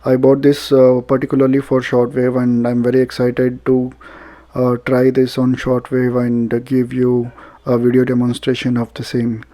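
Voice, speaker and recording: A man with an Indian accent giving a casual, halting product demonstration as a radio reviewer. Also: medium pitch, completely flat delivery, dark tone. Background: radio music playing.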